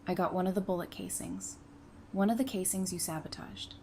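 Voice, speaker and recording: Woman playing loving bride in a fan audio drama, soft mellow lowish voice, very earnest, bit of background noise. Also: fairly quick, close to an everyday voice. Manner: earnest, emphatic, emotional delivery. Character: tender, devoted bride speaking heartfelt wedding vows. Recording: faint background noise and ambience.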